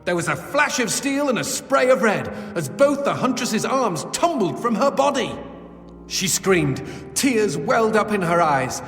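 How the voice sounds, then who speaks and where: High male voice, bawdy demon sidekick, very animated, theatrical game dialogue, music behind. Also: a little slow, heavily acted. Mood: dramatic storytelling. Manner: theatrical, quippy, storyteller's flourish. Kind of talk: video game character acting. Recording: long hall reverb under game music.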